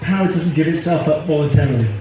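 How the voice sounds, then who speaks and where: A man's voice: fairly high pitch, fast, warm tone. A man in his fifties, an activist being interviewed, talking earnestly in a rambling way that repeats itself. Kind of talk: casual interview. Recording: echoey, noisy phone recording.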